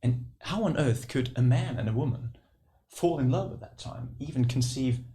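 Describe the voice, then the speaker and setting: A man's voice: mid-range voice, normal speed, dark tone. A man with a Norwegian accent, co-hosting a conversational podcast, speaking hesitantly with frequent fillers. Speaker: podcast co-host.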